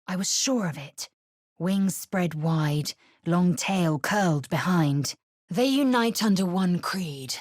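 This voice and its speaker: An actress playing a fierce, haughty young githyanki warrior woman in a video game, with a husky, raspy voice and a clipped, imperious, disdainful delivery. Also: fairly low pitch, dry recording, studio quality. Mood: stern, disdainful. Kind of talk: scripted video game voice lines and narration.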